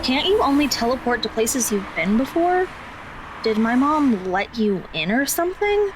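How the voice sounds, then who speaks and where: A woman's voice: fairly high pitch, sing-song, normal speed. A woman playing a blunt, questioning, slightly sardonic teenage girl in an audio drama. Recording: clear background noise.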